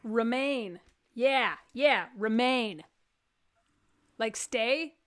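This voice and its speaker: A woman's voice: on the high side, drawn-out words, clear, resonant tone. A woman with a Texan accent hosting a live stream, chatting casually and joking in rambling asides. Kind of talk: casual comedic chat.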